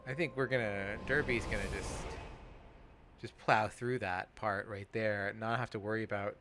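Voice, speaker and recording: A man of about forty chatting casually over gameplay, rambling and halting, with plenty of swearing. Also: on the low side, steady tone, noisy background. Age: around 40. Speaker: host of a gaming let's play podcast.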